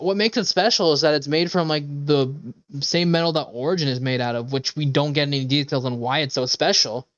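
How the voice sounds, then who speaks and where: A man's voice: on the high side, no echo, dull audio. A man streaming a game, chatting casually and thinking aloud in a rambling, hesitant way. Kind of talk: casual livestream chat while playing.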